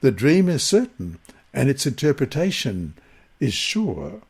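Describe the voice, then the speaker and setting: A man reads a scripted Bible lesson and scripture in a solemn, measured, deliberate manner. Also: medium pitch, wildly swooping pitch, vocal fry, husky. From Queensland, Australia. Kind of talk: scripted scripture reading and narration.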